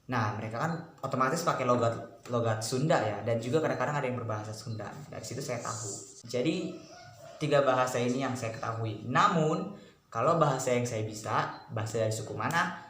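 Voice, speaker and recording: A man's voice: medium pitch, brisk, fairly bright tone. Man talking in an echoey room. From Batak, North Sumatra, Indonesia. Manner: lists points one by one, mixing in English words. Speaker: language tutor. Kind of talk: casual explaining. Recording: echoey room with faint noise.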